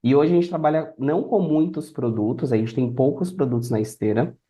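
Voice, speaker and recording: A man's voice: medium pitch, fairly quick, dark tone. A young Brazilian man speaking as a podcast guest, explaining and telling anecdotes in a conversational way. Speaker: podcast guest, affiliate marketer. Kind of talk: conversational interview answers.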